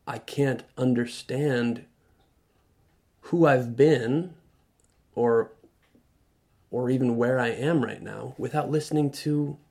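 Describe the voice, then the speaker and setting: Earnest man, halting restarts. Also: medium pitch. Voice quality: loud voice. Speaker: radio guest. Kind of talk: reflective conversational talk. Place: radio studio.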